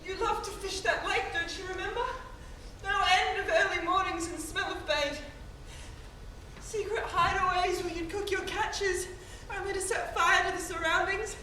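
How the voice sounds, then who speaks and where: Woman playing dead mother's spirit, piercingly high. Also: steady tone, normal speed, sharp tone. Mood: fond, reproachful. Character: anguished dead mother's spirit, fond, wailing, maternal. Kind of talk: theatrical tragic monologue. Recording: very echoey hall, distant mic, faint noise.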